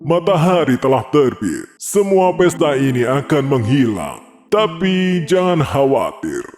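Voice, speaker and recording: A man voicing an eerie party waiter who speaks calmly and ominously, set against music in a cartoon. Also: mid-range voice, unhurried.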